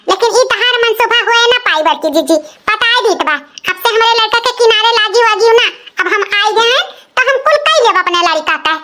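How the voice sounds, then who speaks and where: Man playing a shrill, haughty Awadhi sister-in-law. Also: pushed and bright, heavily acted. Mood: spiteful, scolding. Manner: haughty, nagging, taunting. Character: vain, jealous, scolding young village sister-in-law, a mother. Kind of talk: comic cartoon dialogue.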